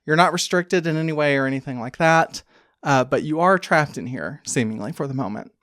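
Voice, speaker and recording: Woman gm describing the scene off the cuff, halting and chatty, really dry sound. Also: deep voice, a bit bright, dry recording. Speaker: game master. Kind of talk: improvised tabletop game narration.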